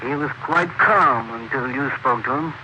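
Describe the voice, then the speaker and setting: A man's voice: medium pitch, normal speed, close to an everyday voice. Male actor: humane warden, firm and disapproving, vintage radio drama. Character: humane middle-aged male prison warden. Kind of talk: 1940s radio acting. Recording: noisy old radio broadcast.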